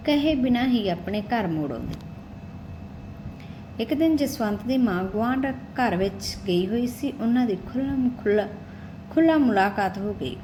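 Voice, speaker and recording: A woman narrating a scripted story as an audiobook-style storyteller. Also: on the high side. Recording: clearly noisy recording with background ambience.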